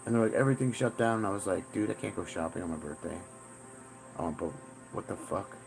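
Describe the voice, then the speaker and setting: A man's voice: lowish voice, animated, fast talker, vocal fry, over loud music, poor audio. A man in his forties talking in a rambling, profane monologue, often repeating himself. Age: 40s. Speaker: self-styled spiritual teacher.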